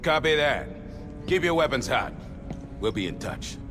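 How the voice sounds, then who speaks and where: An actor playing a seasoned, gruff older sergeant major with blunt military talk in a cinematic game. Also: male voice, fairly high pitch, big pitch swings, a little slow, over music. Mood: urgent, resolute.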